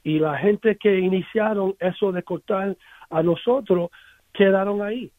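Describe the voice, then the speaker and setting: A man who is a musician, with an American English accent, answering interview questions in a halting way with many restarts and repeated words. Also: high voice, no echo. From United States. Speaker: musician guest. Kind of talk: radio interview, casual recollection. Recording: dry, phone-like radio line.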